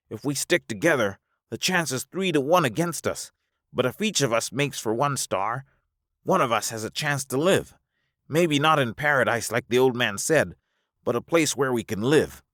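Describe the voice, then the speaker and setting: A man voicing a weary, philosophical spaceman in a science fiction audiobook, folksy and excitable as he reasons aloud. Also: mid-range voice, no echo, studio quality, voice pushed up, lightly acted. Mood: resolute. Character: high-voiced drawling spaceman, weary, resigned, philosophical castaway.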